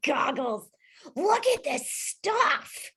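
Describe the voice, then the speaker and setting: Casting: woman as chatty cartoon boy scout, high-pitched, animated, earnest and innocent. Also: very slow, gravelly, exaggerated voice. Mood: amazed, curious. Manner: earnest, wide-eyed. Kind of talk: amateur table read of an animated film script.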